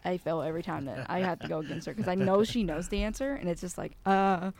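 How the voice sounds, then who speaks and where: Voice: medium pitch, quick pace, vocal fry. A young adult in their twenties, a contestant in a casual trivia game, chatty and self-deprecating. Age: 20s.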